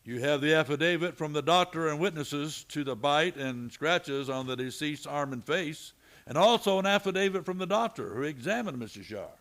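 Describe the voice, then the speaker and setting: A man playing a steady, plain-talking, protective frontier lawman in an old-time radio western. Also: on the high side, fairly quick. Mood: formal, firm.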